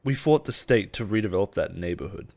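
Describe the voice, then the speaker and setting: A man acting as a low-voiced, possessive CEO boss. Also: expressive, a little fast, a little mellow. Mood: earnest, persuasive. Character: possessive, persuasive male CEO boss, smooth and protective. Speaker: voice actor in a roleplay audio. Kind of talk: intimate dramatic roleplay monologue.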